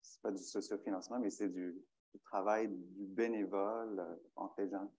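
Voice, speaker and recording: A man's voice: low-pitched, fairly even intonation, moderate pace, darkish tone, breathy edge. A man from Michigan, a native English speaker, speaking French on a panel, hesitant and searching for words. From Michigan, United States. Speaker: panelist, librarian. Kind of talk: panel talk. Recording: dull, lo-fi sound.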